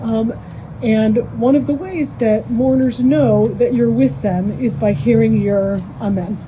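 A middle-aged American woman speaking ceremonially as an officiating rabbi, halting and pausing, into a stand microphone outdoors. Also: fairly high pitch, steady tone. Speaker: rabbi officiating a graveside funeral. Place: outdoors under a cemetery tent. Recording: muffled outdoor microphone with background noise.

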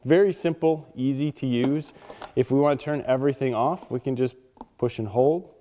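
A man's voice: medium pitch, moderate pace, mellow. A man giving a plain, matter-of-fact hands-on product demonstration at a workbench in a workshop. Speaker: product reviewer. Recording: dull sound with long reverb.

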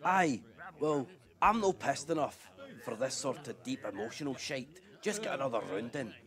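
A man with a Scottish accent playing a gruff, boozy, belligerent space crewman in a live radio play read-through. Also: mid-range voice, sing-song, normal speed, a bit bright. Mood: boozy, exasperated.

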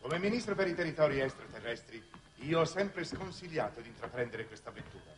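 An actor playing a pompous, disapproving government minister in a 1960s Italian television drama. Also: male voice, mid-range voice, very animated, brisk, lightly acted. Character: pompous, disapproving male minister for extraterrestrial territories. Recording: echoey television studio.

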